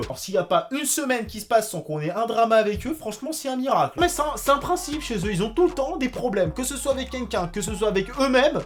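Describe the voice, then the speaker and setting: A young man rattles through a reaction commentary at a fast clip, voice sitting high and lively. He swings from loud, mocking outbursts to muttered asides. Faint music runs underneath. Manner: animated, sarcastic, jumps between loud outbursts and mumbled asides. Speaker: YouTube commentator.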